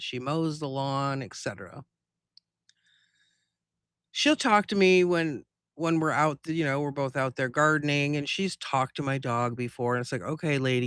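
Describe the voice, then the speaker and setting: Bright, clear-voiced man chatting casually. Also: a little high, no room sound, clean studio sound. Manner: rambling, conversational storytelling. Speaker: live stream host.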